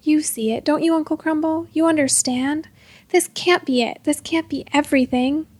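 A woman's voice: high-pitched, expressive, moderate pace, no room sound. A woman voicing a defiant, impassioned girl in a storybook, by turns furious and pleading.

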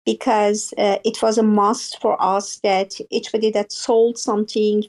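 A woman from Iran, not a native English speaker, chatting in simple, broken English in an online space. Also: mid-range voice. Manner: warm greeting, broken English phrasing. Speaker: guest artist. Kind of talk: casual chat.

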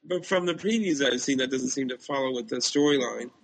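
Creaky-voiced man giving blunt opinions. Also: mid-range voice, brisk, low-quality recording. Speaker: podcast co-host. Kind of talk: casual opinionated chat.

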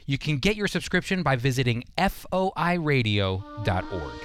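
A man's voice: medium pitch, very animated, normal speed, music in the background. A man hosting a radio program, delivering scripted Bible teaching and commentary.

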